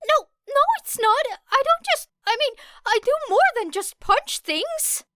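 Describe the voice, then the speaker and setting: Woman voicing an anxious, very high-pitched teenage boy. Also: lively intonation, measured pace, sharp tone, no echo, studio quality, full character voice. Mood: indignant, flustered. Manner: stammering, indignant, pleading. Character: anxious, earnest, flustered teenage boy, pleading peacemaker. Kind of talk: audiobook character voice.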